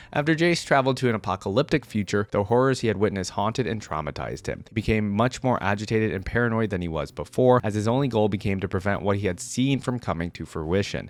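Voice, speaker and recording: A man doing casual reaction commentary, reading aloud and adding informal asides as he talks directly to viewers. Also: on the low side. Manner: informal, addresses viewers, checks in with "right?". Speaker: video creator reacting to game lore.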